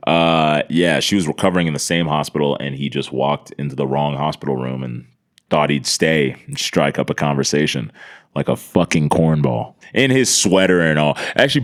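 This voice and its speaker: A man from the United States, a podcast guest telling casual comedic stories with profane, slangy exaggeration. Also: very deep voice.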